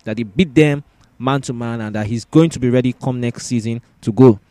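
A man's voice: medium pitch, fairly quick, mellow, no echo. A man hosting a casual sports discussion podcast, often repeating words and phrases for emphasis.

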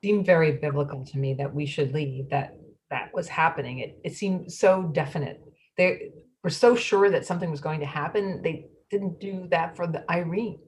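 A woman's voice: sits low, normal speed, a little mellow, lightly acted, low-quality recording. A woman reading the part of a wife who wanted to evacuate, retelling it earnestly. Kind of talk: readers theatre monologue.

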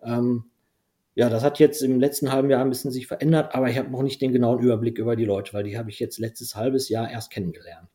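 A man's voice: medium pitch, fairly even intonation, fast talker, warm tone. A man whose first language is Dutch, a podcast guest talking casually and rambling with many fillers. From Belgium. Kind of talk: casual conversation.

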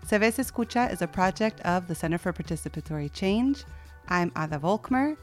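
A woman's voice: lowish voice, lively intonation, brisk, darkish tone, faint music behind. A woman hosting a podcast, enthusiastic and welcoming as she introduces her guests. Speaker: podcast host.

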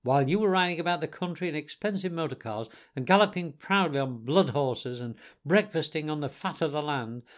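A man's voice: a little high, pitched above the performer's own voice, close to an everyday voice. A man voicing a cross male water rat who lectures and reproaches his friend.

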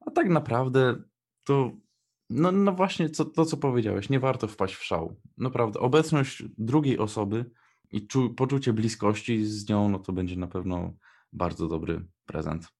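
Low-voiced young man chatting casually. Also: moderate pace, a little mellow, breathy edge. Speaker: radio co-host. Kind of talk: casual radio chat.

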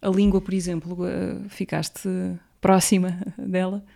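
A woman interviewer leads the talk with gentle, prompting questions. Her voice sits low and has a gravelly edge. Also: level tone, unhurried.

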